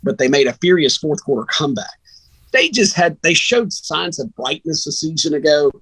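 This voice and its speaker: A man's voice: fairly high pitch, animated, normal speed, a bit bright, breathy edge, no room sound. A man talking casual sports analysis as a radio broadcaster guest, acting out reactions as he tells stories.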